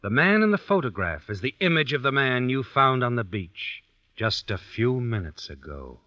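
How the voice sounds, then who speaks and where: An actor playing an ominous, knowing storyteller, narrating an old-time radio suspense drama in an insinuating, dramatic way. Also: male voice, medium pitch, very animated, moderate pace, bright, forceful tone, dry recording. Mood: suspenseful, insinuating. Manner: insinuating, dramatic, second-person address. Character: ominous, knowing male storyteller needling the heroine. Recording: dull vintage radio recording with music.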